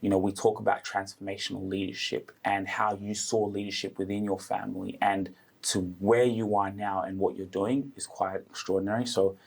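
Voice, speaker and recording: A man's voice: fairly low pitch, flat delivery, normal speed, vocal fry. A man hosting a podcast interview, friendly and complimentary toward his guest. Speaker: podcast host.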